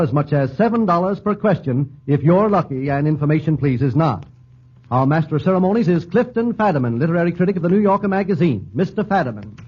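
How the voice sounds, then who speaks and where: High-voiced 1930s radio announcer man, muffled. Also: breathy edge. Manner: declamatory, formal.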